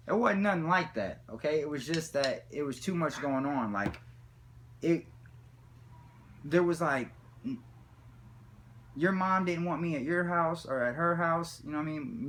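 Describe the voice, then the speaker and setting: A man's voice: on the high side, a bit bright. Slangy, rambling man over background noise. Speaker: storyteller. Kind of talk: rambling casual comic storytelling.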